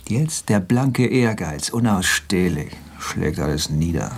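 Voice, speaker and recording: A man's voice: low voice, expressive, slow, a little hiss or hum, exaggerated voice. An actor playing a world-weary, sardonic flight instructor in a naturalistic radio play, laconic, mocking and fatalistic in his talk. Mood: sour, fatalistic.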